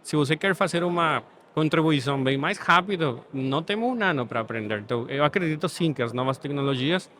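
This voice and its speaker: Guatemalan guest, Spanish native, measured delivery, slightly high voice, faint noise behind. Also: male voice, fairly bright tone. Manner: thoughtful, measured, ends points with "sabe?". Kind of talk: podcast interview. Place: studio setting.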